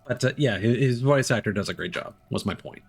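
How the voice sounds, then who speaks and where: A man's voice: medium pitch, a little fast, creaky, slightly rough. A man giving casual live commentary as a game streamer, rambling and musing aloud.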